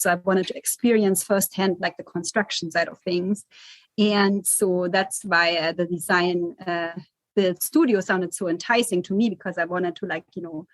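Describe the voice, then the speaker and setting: A woman's voice: mid-range voice, no room sound, rough recording. Woman, German accent, 40s, chatty interview guest, dry close sound. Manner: chatty, with many fillers and restarts. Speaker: guest, architect and consultant. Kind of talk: casual interview answers.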